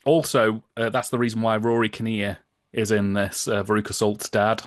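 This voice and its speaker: A man's voice: fairly low pitch, little pitch movement, moderate pace, no room sound. British man explaining things in a dry recording. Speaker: podcast guest, reviewer. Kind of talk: casual explanatory podcast chat.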